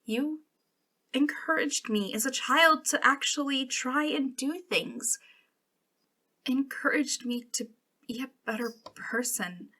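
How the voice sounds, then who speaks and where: A woman's voice: high-pitched, bright, forceful tone, full character voice. A woman playing an arrogant, jealous young princess in a dramatic ASMR roleplay, imperious but wounded and emotional. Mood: wounded, pleading. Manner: imperious, emotional, halting when hurt. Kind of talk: ASMR roleplay, dramatic one-sided dialogue.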